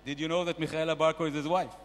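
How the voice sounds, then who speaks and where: A man's voice: level tone, very fast, close to an everyday voice. A man playing a brash boastful investor shark. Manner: loud, bossy, mock-grand sales pitching. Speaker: comedian performing at a panel. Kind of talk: stand-up character bit. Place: large conference hall. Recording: reverberant conference hall.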